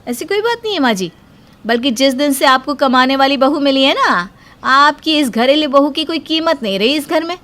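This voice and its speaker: A woman's voice: high voice, expressive, normal speed, a bit bright, slight background noise, pitched above the performer's own voice. A woman voicing a scheming, jealous young Indian housewife in a moral-story cartoon, with a pointed, self-justifying way of talking. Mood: indignant.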